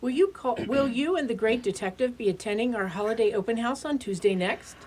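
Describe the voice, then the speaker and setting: A woman's voice: on the high side, lively intonation, brisk, darkish tone, rough recording. A woman acting in a radio play as a gracious, refined ambassador with formal, florid phrasing. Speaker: actor in a community radio play.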